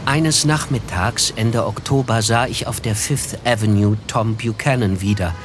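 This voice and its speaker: An actor playing a disillusioned, reflective narrator, telling a literary story in a measured way in a radio drama. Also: male voice, lowish voice, over loud music, lightly acted. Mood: detached. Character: reflective male first-person narrator, disillusioned.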